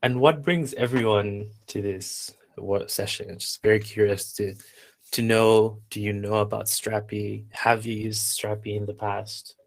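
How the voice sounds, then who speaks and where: A man from Zambia hosting a live online workshop, chatting casually and haltingly as he reads out attendees' replies. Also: lowish voice, steady tone, creaky. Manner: unhurried, halting, reading out chat replies. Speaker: workshop instructor and host.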